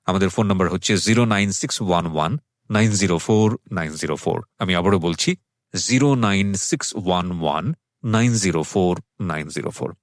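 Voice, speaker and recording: Male radio host, lower register, reflective and articulate, some English mixed in, dry studio sound. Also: a little fast, no echo. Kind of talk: radio show introduction and interviewing.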